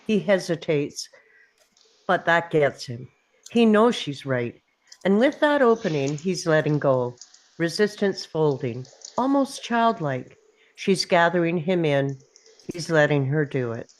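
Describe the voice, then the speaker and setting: A woman's voice: low-pitched, big pitch swings, unhurried, a little hiss or hum, low-quality recording. A woman narrating screenplay stage directions in short, clipped, punchy phrases at a table read. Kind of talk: scripted narration of screenplay action.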